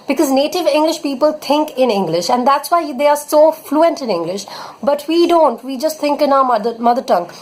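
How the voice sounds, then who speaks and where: A young Indian woman with an Indian accent, an English tutor giving earnest spoken tips in a vlog lesson. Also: high-pitched. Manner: earnest, advising, leans on fillers like "basically" and "honestly".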